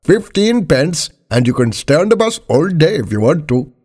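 A man's voice: fairly high pitch, sing-song, normal speed, a little mellow, pitched above the performer's own voice. A man voicing a matter-of-fact bus driver in an audiobook story.

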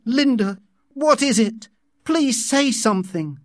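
A man reading an audiobook as an anguished young man, pleading and shouting with great fervour. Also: extremely high pitch, animated, slow pace, dry recording, clean studio sound, voice pushed up, exaggerated voice. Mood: desperate. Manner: loud, pleading, fervent shouting. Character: anguished young man, an impassioned outsider called the savage.

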